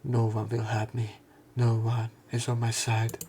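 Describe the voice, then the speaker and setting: A man's voice: mid-range voice, monotone, measured pace, warm tone, a little hiss or hum. A man narrating a visual novel as a let's player, reading the story and lines aloud.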